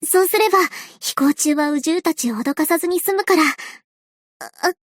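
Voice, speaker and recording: High soft young flyer girl, calm n kind, reassuring, super dry booth sound. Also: moderate pace, no echo. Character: gentle young woman aviator, calm and kind. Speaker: game character voice lines. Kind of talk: anime-style game voice acting.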